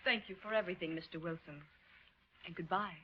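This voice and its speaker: An American actress playing a grieving, genteel widow who rambles politely and plaintively in a 1940s radio drama. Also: female voice, on the low side, fairly quick, slight background noise, close to an everyday voice. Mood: subdued. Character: grieving, genteel widow, a mother prattling sentimentally. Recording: muffled old radio recording.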